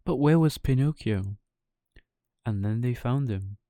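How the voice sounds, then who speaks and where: A man narrates a bedtime story for children. His delivery is slow and his tone is mellow, recorded very dry. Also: mid-range voice, expressive, dry recording.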